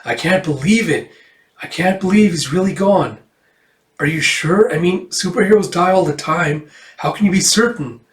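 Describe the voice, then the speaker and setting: Man voicing an incredulous young woman, raised pitch. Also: normal speed, roomy sound, distant mic, close to an everyday voice. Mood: disbelieving. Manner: incredulous, insistent. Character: grown daughter of dead superhero, earnest, questioning young woman.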